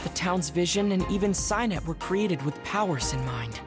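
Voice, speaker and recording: A man playing a composed, knowledgeable squad member who explains things in a measured way in a video game. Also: high voice, expressive, music in the background. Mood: calm, informative. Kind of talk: video game cutscene voice acting.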